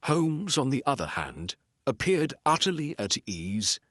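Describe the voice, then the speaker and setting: Guy reading a detective story, slow n deliberate, lots of pitch movement, dry sound. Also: very animated, fairly bright tone, no room sound. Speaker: audiobook narrator. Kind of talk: scripted dramatic story narration.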